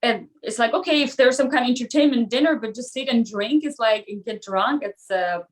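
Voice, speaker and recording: High-voiced non-native English-speaking woman. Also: a little fast. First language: not English, a non-native speaker. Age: 30s or older. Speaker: podcast guest. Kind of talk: casual chat, personal anecdotes.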